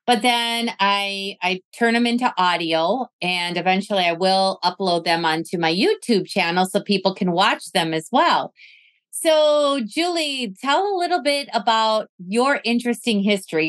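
A woman hosting a recorded video-call interview, speaking in a measured, affirming, reflective way. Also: mid-range voice, fairly bright tone. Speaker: podcast host.

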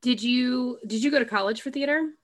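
A woman's voice: fairly high pitch, fairly quick. A woman hosting a podcast, introducing her guest and asking questions in an effusive, welcoming way. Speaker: podcast host. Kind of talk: podcast interview.

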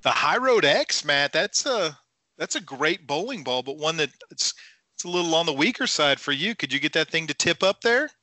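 Very bright-voiced man hosting sports podcast. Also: fairly high pitch, sing-song, moderate pace, no echo. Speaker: podcast host. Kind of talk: upbeat sports talk, hosting.

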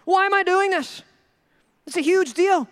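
A male pastor preaching a sermon in an animated, joking way, acting out the people he quotes. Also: extremely high pitch, measured pace, long reverb tail. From Minnesota.

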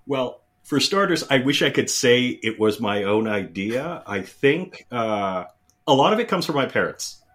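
A man's voice: mid-range voice, expressive, measured pace, a bit bright. A middle-aged man from the Philippines, a guest telling stories in a casual interview, rambling with many fillers and restarts.

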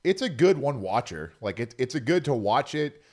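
A man's voice: mid-range voice, very animated, normal speed, darkish tone. A man chatting casually on a podcast, giving his opinions in plain, informal speech.

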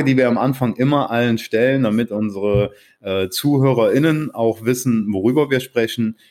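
A man hosting a podcast interview, asking questions in a halting way with many fillers. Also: lowish voice, a little mellow. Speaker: podcast host.

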